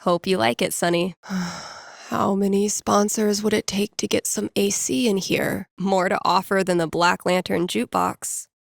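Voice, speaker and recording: A woman's voice: mid-range voice, fairly even intonation, a little slow, vocal fry, dry recording. A woman voicing a wry, laid-back young DJ in a video game, talking casually to herself with dry sarcasm. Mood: dry, amused. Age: young adult. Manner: dry, sardonic, conversational.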